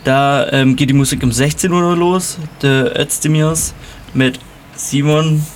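A man's voice: on the high side, fairly even intonation, measured pace. Male radio guest, hesitant delivery, full of fillers, slight noise. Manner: hesitant, many fillers, stumbles over names. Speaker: festival organizer guest. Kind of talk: reading out a program on radio.

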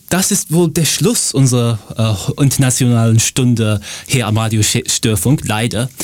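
A man's voice: medium pitch, a little mellow. A man hosting a radio show, presenting in a partly improvised way.

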